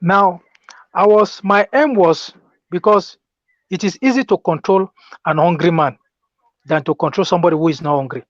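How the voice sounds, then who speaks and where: A man's voice: high voice, normal speed, pauses often, no room sound. A man with a Nigerian accent speaking as a guest in a political discussion, insistently explaining his experience. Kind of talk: political discussion, personal account. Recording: dull, dry lo-fi call audio.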